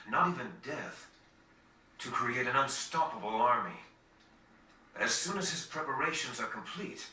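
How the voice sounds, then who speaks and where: A formal, troubled nobleman in a game cutscene. His voice is very bright and forceful at a middle pitch, with measured, earnest delivery. It sounds roomy and set back from the mic. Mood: grave. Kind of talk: dramatic video game cutscene acting. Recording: roomy, distant game capture with faint noise.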